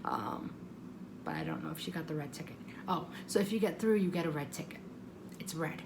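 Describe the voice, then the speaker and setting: Woman, casual vlogger, creaky voice, chatty storytelling, animated quoting, noticeable background noise. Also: low voice, fairly quick. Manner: chatty, animated retelling, acting out quotes.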